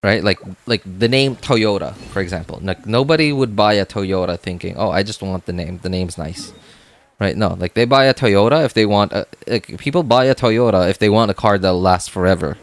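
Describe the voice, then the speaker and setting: A man's voice: fairly low pitch, normal speed. A man chatting casually on a game livestream, thinking aloud, repeating himself and often checking in with "right?".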